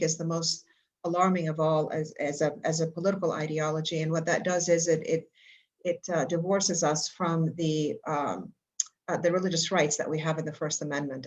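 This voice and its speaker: An older woman from Egypt giving a prepared talk with slides at a school board meeting, halting and full of fillers and restarts. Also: low-pitched. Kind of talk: prepared public comment with slides.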